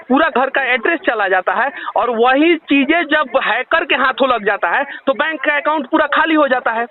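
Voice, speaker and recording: A man with a very high-pitched voice and a Bihari Hindi accent, heard over a tinny phone line. He argues insistently, piling up sarcastic questions as he makes a heated complaint. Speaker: caller confronting a loan telemarketer. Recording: tinny, narrowband phone call recording.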